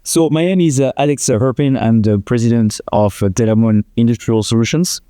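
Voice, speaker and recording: A man's voice: mid-range voice, brisk, dark tone, no room sound, clean studio sound. A middle-aged man from France with a French accent, a company president answering interview questions hesitantly with many fillers. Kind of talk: interview conversation.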